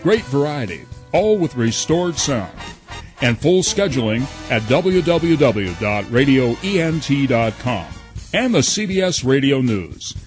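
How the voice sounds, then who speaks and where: A man's voice: mid-range voice, sing-song, measured pace. A man reading scripted promo narration as a radio station announcer. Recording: faint music bed behind.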